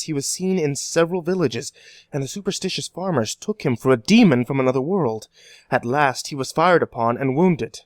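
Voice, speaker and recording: A man's voice: on the high side, dry recording, studio quality, natural voice. A man acting a kindly, avuncular older professor in an old-time radio drama style. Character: kindly, fatherly older male professor, soothing mentor.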